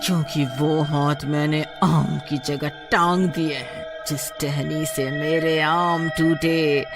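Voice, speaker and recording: A woman voicing a vengeful, gloating witch in a cartoon horror story, with a menacing, drawn-out delivery. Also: sits low, steady tone. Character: vengeful, gloating female witch guarding her mango tree. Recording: music playing behind the voice.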